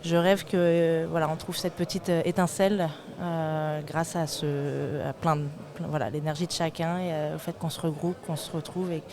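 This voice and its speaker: Deep voiced woman thinking out loud on a panel, hesitant, people chattering in the background. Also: flat delivery, normal speed, hardly any pauses. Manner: hesitant, searching for words. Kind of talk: reflective unscripted roundtable talk. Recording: crowd chatter behind. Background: crowd chatter.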